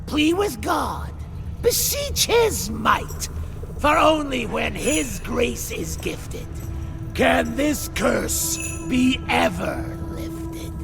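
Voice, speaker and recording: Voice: high-pitched, very animated, very slow, vocal fry, music in the background, exaggerated voice. An actor voicing a mad, gleeful jester-like spirit chanting rhymes in theatrical singsong for a video game. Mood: gleeful menace. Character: mad cackling jester-like spirit reciting a rhyming curse.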